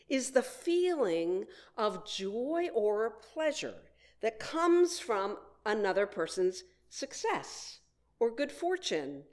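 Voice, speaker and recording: Slow-paced woman preacher with expressive pitch. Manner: measured, deliberate phrasing with frequent pauses. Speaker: minister giving a sermon. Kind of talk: sermon, prepared message.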